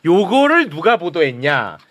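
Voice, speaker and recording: A man hosting a casual political talk show, animated and teasing, steering the talk with quick questions. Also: on the high side, noisy background.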